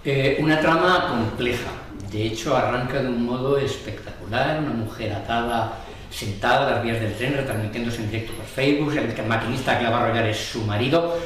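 A man in his fifties hosting a book channel, presenting and asking interview questions in a lively, upbeat way. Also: medium pitch, fairly quick. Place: home room with plants. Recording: echoey room, faint background hum, heard from a distance.

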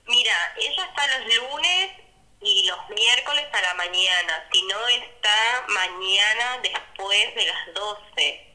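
Casting: Argentine female secretary, very bright, piercing voice, medium pitch, over the telephone. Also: animated, takes it slowly. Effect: telephone line. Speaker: swimming school secretary answering phone. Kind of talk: phone conversation.

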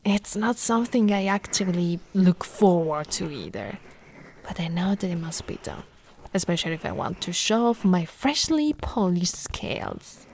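A woman playing an affectionate, teasing lamia girlfriend in an ASMR roleplay, soft and cooing, often slipping into whispers. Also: fairly low pitch, animated, moderate pace, slight background noise. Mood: reassuring.

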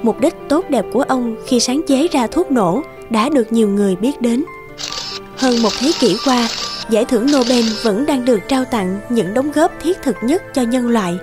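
A woman's voice: on the high side, normal speed, lightly acted. A woman reading scripted storytelling narration. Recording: music behind the voice. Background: music.

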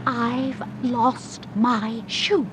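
An actress playing a detective's playful, fussy wife in a theatrical, drawn-out radio drama style. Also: female voice, fairly high pitch, level tone. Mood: plaintive, insistent. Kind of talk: mid-century British radio drama acting. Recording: noisy radio drama with vehicle sounds.

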